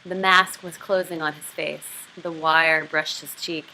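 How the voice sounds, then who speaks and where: A woman teaching a writing lesson, explaining and reading passages aloud in an expressive way. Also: low-pitched, a little slow, a little hiss or hum, low-quality recording. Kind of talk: literary craft lesson with read-aloud passages.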